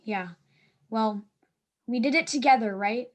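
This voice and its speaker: Woman playing a high-voiced, fretful schoolgirl. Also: unhurried, close to an everyday voice. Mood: anxious, panicky. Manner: fretful, dramatic. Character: anxious, dramatic schoolgirl dreading punishment. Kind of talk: staged play reading.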